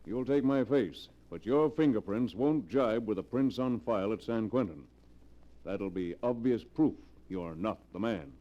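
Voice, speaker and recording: An actor playing a calculating, self-assured millionaire fugitive in 1940s radio style, brisk and businesslike. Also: male voice, on the low side, animated. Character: commanding, calculating millionaire fugitive with a hot temper. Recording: muffled 1940s radio drama recording.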